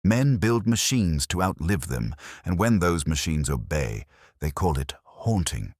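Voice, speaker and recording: A man voicing a calm, philosophical gentleman detective in an audiobook, speaking deliberately and with quiet reason. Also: deep voice, no room sound, clean studio sound, exaggerated voice. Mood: reflective. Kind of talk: audiobook character dialogue.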